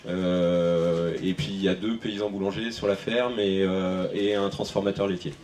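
A man in his thirties speaks on a panel in a large event tent, hesitant and halting, full of fillers and restarts. His voice sits low, with faint ambient noise behind him. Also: level tone, darkish tone. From France (Maine-et-Loire). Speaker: farmer on panel. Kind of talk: panel discussion answers. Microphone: handheld microphone.

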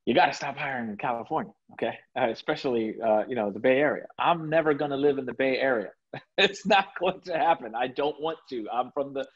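A man's voice: mid-range voice, fairly quick, breathy edge, highs cut off. A man from South Florida co-hosting a podcast, talking emphatically and casually over a video call from home. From South Florida, USA. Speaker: podcast co-host. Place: home room, indoors.

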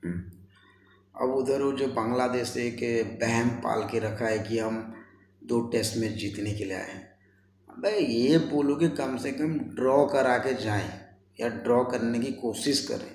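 Young man, gravelly and chatty. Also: mid-range voice, measured pace, a little mellow. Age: 20s. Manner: colloquial, chatty, addresses viewers as brothers. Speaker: sports vlogger. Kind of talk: casual cricket commentary to camera. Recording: roomy echo, faint background noise.

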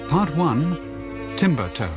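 An English man announces a radio drama from a script. His pitch is slightly high and moves in a lively way. Violin music plays clearly behind him. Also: slow pace.

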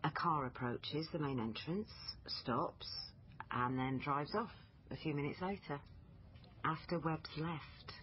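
An actress playing a persistent, matter-of-fact police family liaison officer in a naturalistic radio drama. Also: female voice, sits low, slow pace. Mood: puzzled, explaining. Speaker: actor in radio crime drama. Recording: dull, noisy lo-fi radio recording.

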